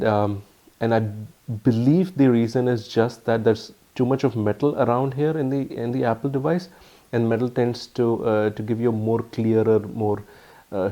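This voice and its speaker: Mellow-voiced Indian man reviewing tech. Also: on the low side. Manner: halting, frequent ums and uhs; earnest, explanatory. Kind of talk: casual product review.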